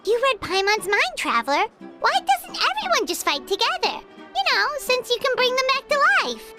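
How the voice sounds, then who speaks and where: Loud music plays under a tiny fairy sidekick, extremely high and swooping wildly in pitch. The chirpy, inquisitive little game character sounds like an excitable child. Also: female voice, moderate pace, full character voice. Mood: cheerful, inquisitive. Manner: chirpy, inquisitive, refers to self by name. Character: tiny excitable childlike fairy companion, speaks in third person. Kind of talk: video game voice acting.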